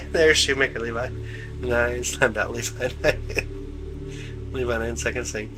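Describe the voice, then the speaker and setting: A man's voice: mid-range voice, slow pace, music in the background, low-quality recording. A man hosting a live telescope stream, chatting casually with viewers in a laid-back, slangy way, his voice stuffed up from congestion. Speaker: livestream host of telescope views.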